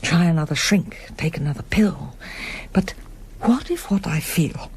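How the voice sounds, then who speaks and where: Low-voiced, haunted middle-aged woman. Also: animated, measured pace, gravelly, a little mellow, a little hiss or hum. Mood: bitter, hurt. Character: anguished middle-aged émigré pianist, wife and mother, haunted by war. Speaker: lead actress and narrator. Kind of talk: radio drama acting and first-person narration.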